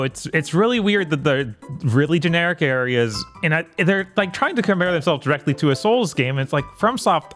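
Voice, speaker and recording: A man's voice: a little high, fairly bright tone. A man co-hosting a podcast, giving his thoughts in casual gaming chat.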